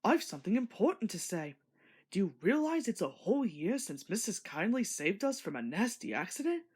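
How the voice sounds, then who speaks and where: A woman voicing a cheerful, eager young male steam engine with an excited, earnest way of exclaiming. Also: mid-range voice, moderate pace, slightly rough.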